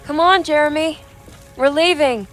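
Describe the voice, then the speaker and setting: Casting: actress as determined, earnest niece, horror game drama, slightly high voice over clear music. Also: female voice, normal speed, close to an everyday voice. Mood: gentle. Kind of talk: horror video game cutscene acting.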